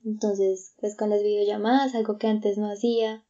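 A woman's voice: mid-range voice, level tone, moderate pace, dark tone, muffled recording. A woman, chatting reflectively with many fillers and restarts. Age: 20s. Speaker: guest. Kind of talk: casual conversation.

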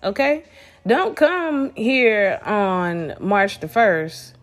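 A woman's voice: mid-range voice, sing-song, drawn-out words, soft background music. A woman hosting a casual solo podcast, talking bluntly with lots of swearing, restarts and a stuffy, congested nose. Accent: Southern US. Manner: blunt, profane, rambling with restarts. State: congested from allergies. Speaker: podcast host.

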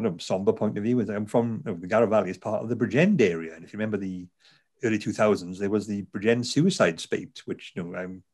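Welsh male author, lower register, conversational interviewee, lots of restarts. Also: a little mellow. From South Wales. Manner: chatty, frequent fillers and restarts. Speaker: author, neuroscientist.

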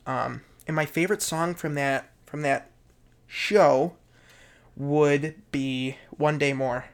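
A man's voice: on the high side, slow, clear, resonant tone. A man co-hosting a casual podcast, chatting eagerly and repeating words for emphasis. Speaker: podcast co-host. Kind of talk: casual chat.

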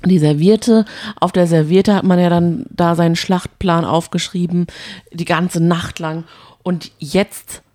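Low-voiced woman in casual banter. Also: fairly even intonation, a little slow, mellow. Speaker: co-host of a reality TV recap show. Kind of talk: casual banter and episode recap.

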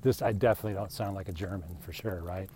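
A man's voice: sits low, quick pace, mellow, slight background noise. A man in his fifties talking casually on a solo podcast, rambling and self-deprecating, often tossing in "right?". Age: 50s. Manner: chatty, self-deprecating, frequent "right?" asides.

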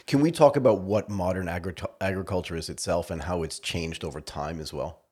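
A man's voice: deep voice, brisk, a little mellow, slightly rough. A man hosting a podcast, asking curious, enthusiastic questions. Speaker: podcast host. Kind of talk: podcast interview.

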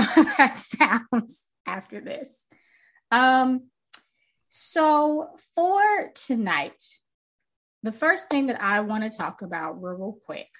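A young woman co-hosting a music podcast in casual chat. She talks loosely, swears freely, laughs often, and calls her own voice pitchy and a little grating. She speaks close to a desk mic. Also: fairly bright tone. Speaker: podcast co-host.

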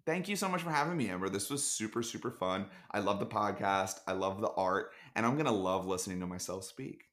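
Low-voiced man joking deadpan on a call. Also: brisk. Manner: joking, rambling, deadpan dark humor. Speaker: podcast guest. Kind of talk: casual chat. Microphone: video call.

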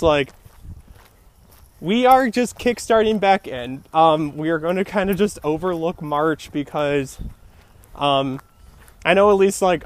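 A young man co-hosting a podcast, chatting casually, rambling with fillers and laughing often. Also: a little high. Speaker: podcast co-host. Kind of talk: casual chat.

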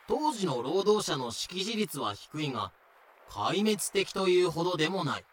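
A man's voice: sits high, very animated, normal speed, husky. A man reading scripted explanatory narration in a wry, matter-of-fact way. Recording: music behind the voice.

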